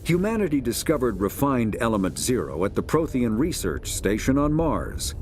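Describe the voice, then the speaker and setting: A man reading scripted encyclopedic narration for a sci-fi game in a measured, formal manner. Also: medium pitch, very animated, a little mellow, slight background noise.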